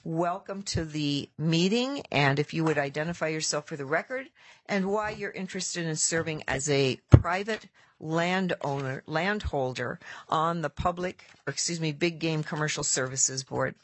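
A committee chair running a formal legislative hearing, reading out a report and moving through procedure briskly with filler pauses. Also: mid-range voice.

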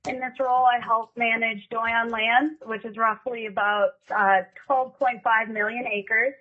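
Hoarse woman testifying over a phone line. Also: a little high, fairly even intonation. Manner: polite, a little halting, with many "um"s. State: slightly hoarse from the flu. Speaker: board appointee testifying. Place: calling in remotely.

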